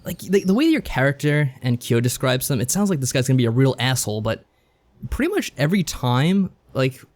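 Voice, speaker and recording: A man with a voice of middling pitch talks casually as a podcast co-host. He recaps a story in a loose, rambling way, with wry asides along the way. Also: sing-song, a little fast, a little mellow. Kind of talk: casual chat recapping a visual novel.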